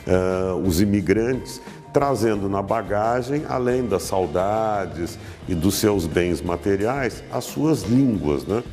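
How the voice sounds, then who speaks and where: Low voiced guy narrating a doc piece, calm, reverb-y with soft music under it. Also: slow pace. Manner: calm, explanatory, addressing the viewer. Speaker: documentary narrator. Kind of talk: scripted narration.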